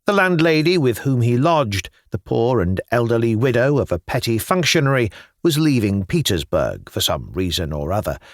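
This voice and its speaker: A man narrating literary fiction as an audiobook, reading in a measured, expressive storytelling style with careful phrasing. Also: mid-range voice, dry recording.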